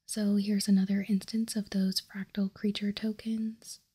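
A woman whispering softly and calmly in an ASMR style, explaining and reading out text as a host, close to the microphone. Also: medium pitch, slightly rough. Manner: soft, unhurried, calm explaining. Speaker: host of card-game ASMR channel.

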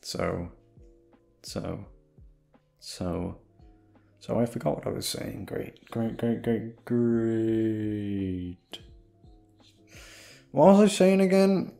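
Young male, lowish pitch, off-the-cuff sweary ramble to an audience, hesitant and self-deprecating, light music underneath. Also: lively intonation, extremely slow, creaky, pauses often, dark tone. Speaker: audio roleplay voice actor. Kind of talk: rambling casual chat to listeners.

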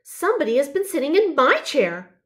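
A woman's voice: high-pitched, very animated, moderate pace, voice pushed up. A woman voicing a prim, surprised mother bear in a children's storybook, exclaiming in a sing-song way.

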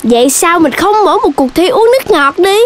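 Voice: very high-pitched, animated, normal speed. An actor voicing an eager, childlike young animal friend in a children's cartoon, with a chirpy, exclamatory way of talking. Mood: cheerful, announcing. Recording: music behind the voice.

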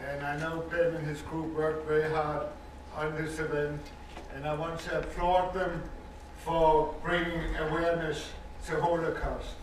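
An older man from Denmark with a Danish accent gives earnest, measured personal testimony as a survivor speaking to an audience, stressing points by repeating words. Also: fairly high pitch, little pitch movement, a little hiss or hum. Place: university lecture hall with audience. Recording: echoey hall recording from far off.

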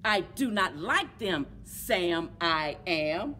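Grumpy rhyming refuser read by a woman: emphatic, slow, swooping pitch, clear room noise. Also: big pitch swings, sharp tone, full character voice. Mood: grumpy refusing. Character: stubborn, grumpy creature refusing food. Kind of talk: rhyming picture-book read-aloud. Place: public library children's book corner.

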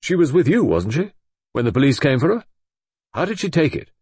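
A man playing a loud blustering Englishman. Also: a little high, sing-song, fairly quick, slightly rough, dry recording, pitched above the performer's own voice. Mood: eager. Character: excitable vengeful middle-aged Englishman.

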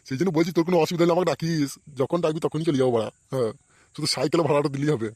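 A man's voice: fairly high pitch, very fast, a little mellow, no echo, natural voice. A man voicing a bossy, blustering village man in a comedy cartoon, with crude speech. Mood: irritated. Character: bossy, foul-mouthed village man at a wedding. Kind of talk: amateur comedy cartoon voice acting.

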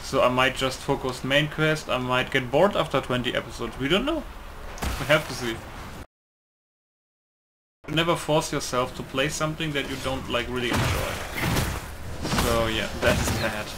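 A man's voice: medium pitch, normal speed, long pauses. Man rambling over a game, noisy backdrop. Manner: rambling, blunt, sweary. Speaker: gaming video creator. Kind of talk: casual game commentary.

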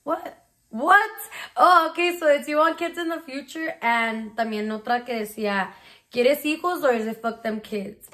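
A woman's voice: high-pitched, normal speed, a bit bright. A woman of twenty chatting casually in a bedroom Q&A vlog, slangy and mixing in Spanish words, recorded on a phone. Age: 20s. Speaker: vlogger. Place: small bedroom. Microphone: phone camera at arm's length.

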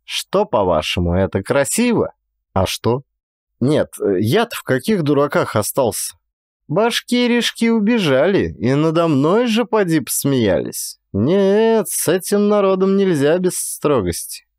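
A man voicing a gruff, stubborn, talkative Cossack inspector in a dramatized audiobook, telling things in emphatic colloquial speech. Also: on the high side, sing-song, a little slow, darkish tone, no room sound, clean studio sound, pitched above the performer's own voice. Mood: animated, recounting. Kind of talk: dramatized audiobook character dialogue.

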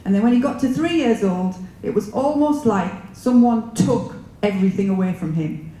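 A woman's voice: medium pitch, animated, normal speed, warm tone. A middle-aged woman from northeast England, her accent mixed with Italian, telling personal stories to an audience in a conference room. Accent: northeast English with an Italian mix. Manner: chatty, storytelling, many um fillers. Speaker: speaker. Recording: roomy, echoey hall with faint noise.

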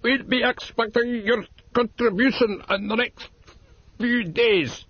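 A man voicing a prank caller putting on an Irish accent, threatening slowly and deliberately. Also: very high-pitched, slightly rough, no room sound, voice pushed up, full character voice. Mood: menacing. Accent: put-on Irish. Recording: dull lo-fi recording.

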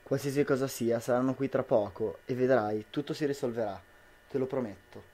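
A man's voice: medium pitch, brisk, mellow, close to an everyday voice. A young man playing a devoted, anxious husband in an amateur radio drama, earnest and tender. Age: young adult.

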